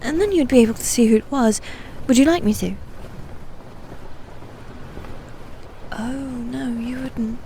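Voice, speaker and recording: Casting: haughty female demon knight, played by a woman for ASMR roleplay; highish, mellow, teasing, often whispered. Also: expressive, slight background noise. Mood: teasing, aloof. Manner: soft, breathy, often whispered, deliberate and teasing.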